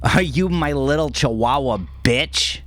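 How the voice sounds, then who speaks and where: A man's voice: a little high, unhurried, fairly bright tone, no echo, pitched above the performer's own voice. A man voicing a cruel, gleefully sadistic gangster in an audio story, taunting and jeering with plenty of profanity. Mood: cruel glee.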